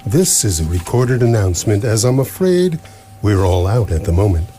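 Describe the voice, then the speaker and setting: A man playing an ancient recorded announcement in a comic radio play, formal, officious and smoothly courteous with menace underneath. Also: lowish voice, expressive, normal speed, warm tone, lightly acted. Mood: polite menace. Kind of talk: comic science fiction radio theatre. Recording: noisy live stage recording.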